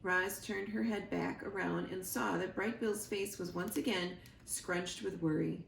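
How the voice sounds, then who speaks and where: A woman storyteller giving an expressive read-aloud of a children's book. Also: lowish voice, a little slow, creaky. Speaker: library storyteller. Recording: roomy echo, faint background noise.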